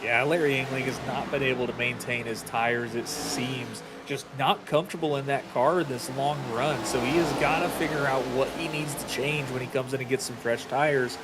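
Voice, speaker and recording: A man's voice: medium pitch, steady tone, moderate pace, few pauses, over loud music. A man giving conversational motorsport analysis as the co-commentator on a race broadcast. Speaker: racing co-commentator. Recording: loud engine and vehicle noise.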